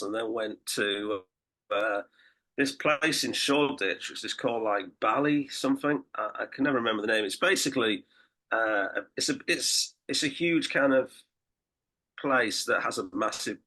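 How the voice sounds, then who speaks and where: A man co-hosting a podcast in casual chat, croaky from a late night, rambling with restarts and fillers. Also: medium pitch, moderate pace, bright, forceful tone. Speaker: podcast co-host and political campaigner.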